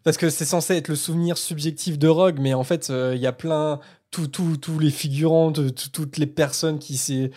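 A man co-hosting a podcast, thinking out loud in casual discussion. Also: fairly high pitch, steady tone, normal speed. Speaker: podcast co-host.

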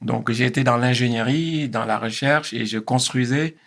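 A man in his fifties from Senegal, a guest on a talk show. His voice has a gravelly, fry-tinged edge. He answers at a measured pace, pausing and restarting often as he gathers his thoughts. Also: medium pitch, fairly bright tone. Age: 50s. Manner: hesitant, many fillers and restarts. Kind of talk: interview answers.

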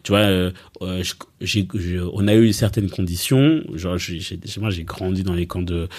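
Relaxed low-voiced man chatting. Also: moderate pace. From Rwanda, raised in Belgium. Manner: relaxed, chatty, many fillers and restarts. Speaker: entrepreneur and coach. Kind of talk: casual conversational interview.

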